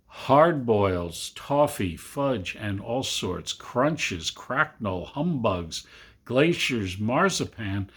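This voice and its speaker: A man reading a literary Christmas story aloud to family, with expressive, deliberate emphasis. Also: mid-range voice. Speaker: amateur storybook reader.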